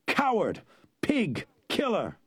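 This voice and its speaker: A man's voice: high-pitched, huge pitch swings, slow pace, slightly rough, no room sound, voice pushed up, exaggerated voice. A man voicing a fierce, defiant ten-year-old boy swordsman in an audiobook. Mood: furious.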